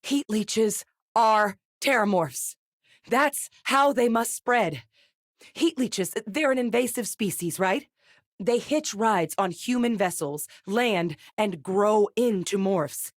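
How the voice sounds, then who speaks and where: An actress playing a composed, frank military scientist in a space video game, speaking in a measured, articulate way. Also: female voice, medium pitch, animated, no echo, studio quality. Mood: dawning realization. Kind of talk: acted video game dialogue.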